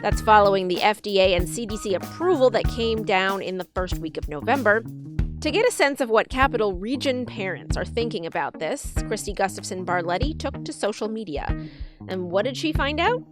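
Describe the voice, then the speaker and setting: A woman's voice: medium pitch, lively intonation, fairly quick. A woman podcast host delivering scripted narration between segments. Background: music.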